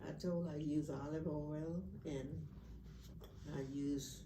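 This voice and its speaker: A woman in her nineties telling lively family stories in a casual chat, laughing at her own anecdotes. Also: low voice, slow, background noise. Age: 90s. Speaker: family elder being interviewed. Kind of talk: casual storytelling. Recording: echoey room, voice from a distance.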